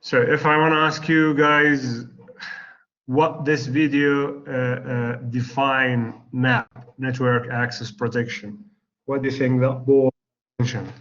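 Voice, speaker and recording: A male lecturer with an Arabic accent, losing his voice, teaching a class in a halting way with many pauses and restarts. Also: mid-range voice, fairly even intonation, a bit bright. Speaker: university lecturer. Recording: slightly dull lo-fi recording.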